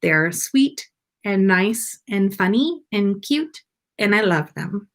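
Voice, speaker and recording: A woman's voice: medium pitch, lively intonation, slow pace. A woman hosting a story livestream, reading aloud and chatting in a playful, informal way. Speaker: podcast host and story reader. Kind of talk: storytelling read-aloud and casual livestream chat.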